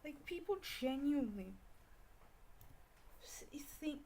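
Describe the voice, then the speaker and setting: A young woman with a Scottish accent chatting casually on an art livestream, rambling and scolding herself out loud in exasperation. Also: sits high, lively intonation, slow, a little hiss or hum. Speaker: art streamer. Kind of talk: casual livestream chat while drawing.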